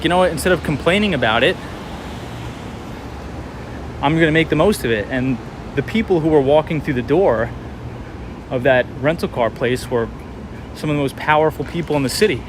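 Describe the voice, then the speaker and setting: Young man streaming from a noisy street. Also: fairly high pitch. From New York City. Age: 20s. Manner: animated, encouraging, talks to viewers by name. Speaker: livestream host. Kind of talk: casual walking livestream chat, reading chat. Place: city street outdoors at night in rain. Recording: outdoor street traffic noise.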